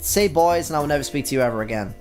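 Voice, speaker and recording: A cocky, rowdy teenage schoolgirl who teases her friends and brags about spicy food, voiced by a man reading a visual novel. Her voice sits higher than a plain male voice, brash and loud. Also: very fast, soft background music, natural voice.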